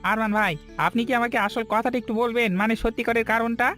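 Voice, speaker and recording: Guy playing a decent hill villager in a cartoon, really high voice, plain talking, asks questions. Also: little pitch movement, quick pace, fairly bright tone, faint music behind. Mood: probing. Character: kind but probing hill villager, protective husband. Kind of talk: cartoon story dialogue.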